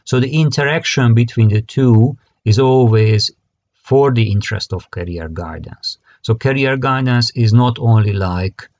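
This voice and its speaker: A man with a Hungarian accent giving an academic conference lecture in halting, hesitant phrasing that often repeats words. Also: medium pitch, a little mellow, no room sound, dull audio. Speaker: conference presenter, career guidance expert.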